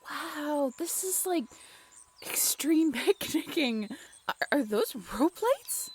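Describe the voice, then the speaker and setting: A woman's voice: high-pitched, lively intonation, slow, a little hiss or hum. A young woman playing an affectionate, teasing girlfriend in a conversational romantic roleplay audio, bantering in a playful drawl. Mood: delighted surprise. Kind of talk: romantic comfort roleplay audio, conversational one-sided dialogue.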